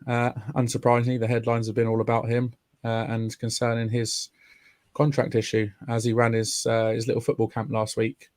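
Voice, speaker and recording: A man hosting a podcast in casual chat, raspy from a chest infection. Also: mid-range voice, completely flat delivery, moderate pace, darkish tone, no room sound. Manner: chatty, friendly, many ums. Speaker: podcast host. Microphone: remote call mic.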